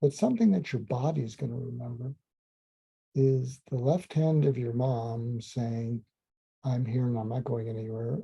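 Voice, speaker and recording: A man, a psychiatrist, calmly recounting a case in a slow, soothing, almost hypnotic way, with frequent ums and restarts. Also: medium pitch, gravelly, husky. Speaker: psychiatrist telling a clinical story. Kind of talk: calm storytelling monologue. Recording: dull lo-fi sound.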